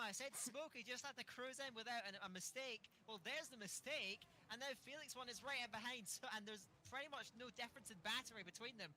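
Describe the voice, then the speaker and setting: A man giving fast, analytical live play-by-play on a sim race. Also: very high voice, pushed and bright, slight background noise. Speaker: sim racing co-commentator. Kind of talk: live esports race commentary.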